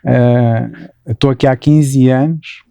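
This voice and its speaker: Portuguese-accented man, warm dry voice. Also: medium pitch, fairly even intonation, slow pace, gravelly, clear, resonant tone, no room sound. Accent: Porto Portuguese. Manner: explanatory, frequent "né" tags and restarts. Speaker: guest engineer. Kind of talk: interview answers.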